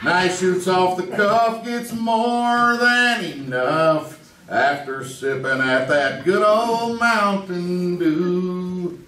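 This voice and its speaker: A man's voice: high voice, drawn-out words, bright, forceful tone, slight background noise, exaggerated voice. A man playing a rowdy hillbilly singing an old radio soda jingle, hollering and whooping on a theater stage. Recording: echoey stage audio from far off.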